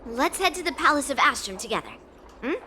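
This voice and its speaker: A woman's voice: high-pitched, big pitch swings, fast, bright, forceful tone, a little hiss or hum, heavily acted. A young actress voicing a bold, blunt young swordswoman in a video game cutscene, talking in a teasing, confident way. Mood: lively. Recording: game cutscene over music. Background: background music.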